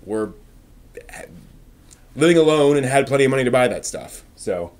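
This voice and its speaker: A man's voice: mid-range voice, lively intonation, moderate pace. A man hosting a live stream, chatting casually with viewers in an informal, rambling way as he thinks aloud.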